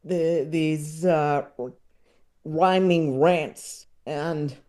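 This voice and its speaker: Slow-talking, hesitant man, soft mellow voice. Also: a little high, fairly even intonation. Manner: hesitant, rambling, self-deprecating. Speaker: podcast guest, comedy writer and filmmaker. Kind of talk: casual interview chat.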